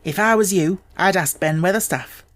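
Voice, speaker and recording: A man's voice: sits high, moderate pace, fairly bright tone, voice pushed up. A man voicing a cheerful, plain-spoken young Yorkshire housemaid in an audiobook, chatting in broad, homely dialect. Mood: frank, helpful.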